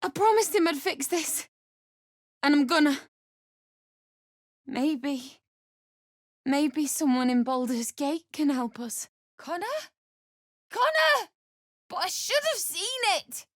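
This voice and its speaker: A woman's voice: sits high, very animated, takes it slowly, pauses often, no echo, studio quality, exaggerated voice. A woman playing a distraught, pregnant young widow in a video game, emotional and pleading, swinging between grief and bitter anger. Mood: grieving, bewildered. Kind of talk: dramatic video game voice acting.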